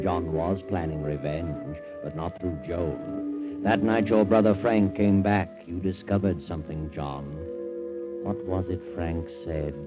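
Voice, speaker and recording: An actor playing a sardonic, gloating mystery storyteller, narrating knowingly in 1940s radio style. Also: male voice, deep voice. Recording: tinny old radio recording. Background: faint music.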